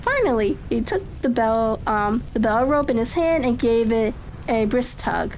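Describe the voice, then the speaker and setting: High-pitched woman reading a story haltingly. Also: animated, darkish tone. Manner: halting, stumbles over phrases. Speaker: audiobook reader. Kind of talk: relaxed storybook reading for ASMR. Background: faint ambient noise.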